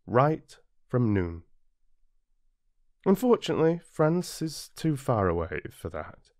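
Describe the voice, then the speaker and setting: Slow, expressive British male storyteller. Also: mid-range voice, dry recording, clean studio sound. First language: English. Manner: expressive, deliberate, with dramatic pauses. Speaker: audiobook narrator. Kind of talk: scripted storybook narration, read-along.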